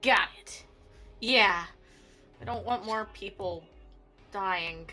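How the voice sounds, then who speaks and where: A woman voicing a hesitant, earnest male half-elf adventurer in a tabletop game, speaking haltingly. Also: medium pitch, pushed and bright, faint music behind, voice pushed down. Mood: somber.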